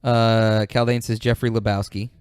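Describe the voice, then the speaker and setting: A man's voice: fairly low pitch, little pitch movement, moderate pace, darkish tone, clear, resonant tone, no echo, clean studio sound. A man chatting casually as a podcast host, reading out suggestions in a jokey, slangy way. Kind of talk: casual chat.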